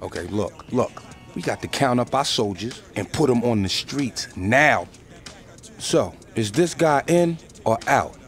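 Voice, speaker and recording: A man's voice: medium pitch, expressive, measured pace, soft background music, close to an everyday voice. A man playing a wary, blunt street gang lieutenant in a video game cutscene, talking in streetwise slang. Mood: wary, challenging.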